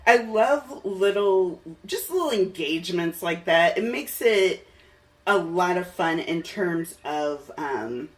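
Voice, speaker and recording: A woman's voice: on the low side, animated, takes it slowly, recorded from a distance. A woman hosting a podcast in a casual, enthusiastic, fannish and giggly chat. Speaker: podcast host.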